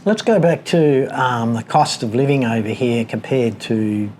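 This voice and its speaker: An Australian man hosts a casual interview on location. He listens attentively and nudges things along with questions. His voice is mid-pitched, with faint noise and ambience around it. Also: unhurried, darkish tone. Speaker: interviewer, host. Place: a condo apartment.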